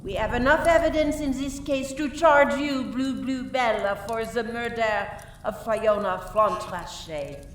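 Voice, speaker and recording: A woman playing a formal, methodical French police inspector in a radio play, questioning deliberately and dropping in French phrases. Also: a little high, lightly acted. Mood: stern. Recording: echoey room from far off, faint noise.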